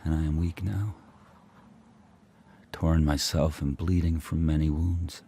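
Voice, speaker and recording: Deep, slow, solemn sled dog. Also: male voice, a little mellow, a little hiss or hum, full character voice. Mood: grim, defiant. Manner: solemn, deliberate, intense, short poetic phrases. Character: proud, fierce, battle-worn sled dog narrating his life. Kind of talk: dramatic first-person radio drama monologue.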